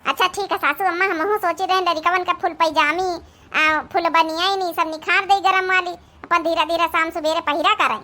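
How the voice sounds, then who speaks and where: A busy young village daughter-in-law voiced by a woman in a comic cartoon. She is dutiful and chatty, with an Awadhi accent and a very high, bright tone. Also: fairly even intonation, fairly quick. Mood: agreeable.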